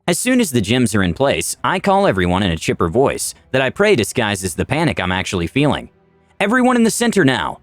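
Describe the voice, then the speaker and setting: A male narrator tells a fantasy tale with very lively intonation and dramatic delivery. His medium-pitched voice is recorded dry, with music playing faintly underneath. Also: fairly quick, few pauses, no echo. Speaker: channel narrator. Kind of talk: dramatic scripted story narration in first person.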